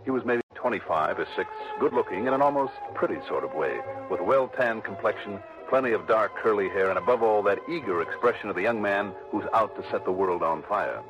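An actor playing a brisk, dry insurance investigator who narrates his own case in a 1960s radio drama. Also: on the low side, expressive, over music, lightly acted. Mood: narrating. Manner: clipped, quick, dry and probing. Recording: dull old radio broadcast.

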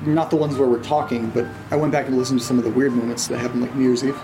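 Audio drama role: earnest, anxious actor playing a male neighbor, mid-pitched, polite and halting, naturalistic delivery over a music bed. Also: little pitch movement, natural voice, rough recording. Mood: casual. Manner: hesitant, stammering, polite.

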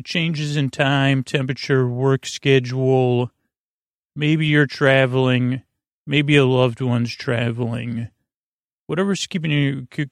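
A man hosting a bedtime podcast in a creaky, lulling voice, halting and stammering through meandering, goofy tangents. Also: medium pitch, dry recording, clean studio sound. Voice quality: creaky, drawling. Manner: lulling, soothing. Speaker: host of a bedtime sleep podcast. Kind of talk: rambling, meandering bedtime monologue.

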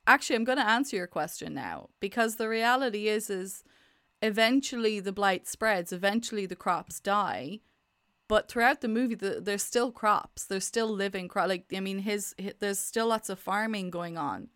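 An Irish woman co-hosting a podcast, talking in an animated, rambling casual chat. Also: mid-range voice, moderate pace, smooth, dry recording, studio quality. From Ireland.

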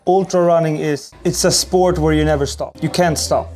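A man's voice: a little high, steady tone, normal speed, a little mellow. A man from Sweden with a Swedish accent, speaking casually and emphatically as an interview guest, often repeating phrases. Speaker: podcast guest, ultra runner. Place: busy café.

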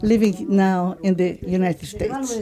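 Mellow-voiced woman in her seventies. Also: medium pitch, unhurried. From Turkey. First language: Ladino. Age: 70s. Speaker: interviewee.

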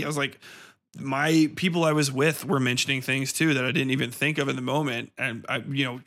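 A man's voice: fairly high pitch, fairly bright tone. A man hosting a review podcast, talking casually and off the cuff in a blunt, colloquial way with plenty of swearing.

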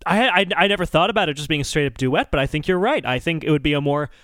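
A man with a high, bright voice co-hosts a comedy podcast. He argues in a mock-serious, emphatic way, with very lively pitch movement. The sound is dry and close. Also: quick pace, dry recording. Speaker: podcast co-host. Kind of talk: comic song-critique banter.

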